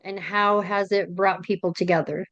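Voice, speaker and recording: A woman's voice: mid-range voice, steady tone, normal speed, a bit bright, no echo, dull audio. A woman interviewer reads out her questions politely and thanks her guest.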